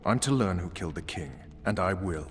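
A man's voice: deep voice, animated, brisk. A man playing a stern, commanding special forces interrogator in a video game, blunt and authoritative. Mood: stern, threatening. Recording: long hall reverb, faint ambient noise.

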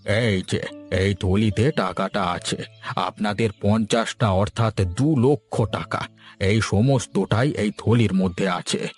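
A man's voice: on the low side, slow pace, faint music behind. A man playing a confident, well-informed village businessman in a cartoon. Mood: satisfied. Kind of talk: cartoon voice acting.

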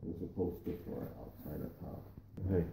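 A man's voice: sits low, moderate pace, creaky. A man hosting a fishing vlog, chatting casually as he shows his companion around and points things out. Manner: relaxed guide pointing things out. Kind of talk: casual chat touring and fishing. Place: indoors touring a themed venue, then out on a boat. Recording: echoey rooms with loud background noise.

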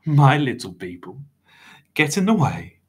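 Man playing a smug little villain, forcefully bright. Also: on the low side. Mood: mock sweet. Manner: soft-spoken menace, drawn-out mocking politeness. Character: menacing little man, smug, falsely jovial, meddling villain.

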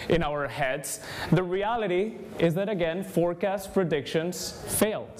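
A young man from Spain with a Spanish accent, giving an energetic conference talk and speaking straight to the audience. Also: high voice, a little slow. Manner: energetic, engaging, addresses the audience directly. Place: large conference room, indoors.

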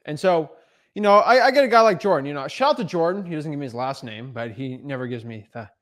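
A young man in his twenties talks straight into a podcast mic in a small home studio, his voice sitting a little high. He pushes hard, circling back to the same questions with lots of "right?" and "like.". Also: expressive, quick pace, a bit bright. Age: 20s. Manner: intense, repeats himself, frequent "right?" and "like". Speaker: real estate wholesaling coach. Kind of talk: unscripted motivational talk to camera. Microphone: podcast mic on boom arm in front.

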